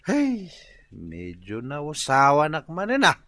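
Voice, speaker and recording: A man's voice: fairly high pitch, wildly swooping pitch, slow pace, fairly bright tone, no echo, exaggerated voice. A man with an Ilocano accent playing a carefree, lazy, hard-drinking husband in a radio comedy-drama. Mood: carefree, amused.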